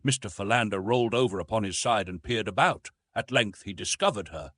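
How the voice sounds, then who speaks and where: A man narrating a classic adventure novel in an expressive, theatrical storytelling manner. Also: fairly low pitch, fairly quick, no room sound. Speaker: audiobook narrator.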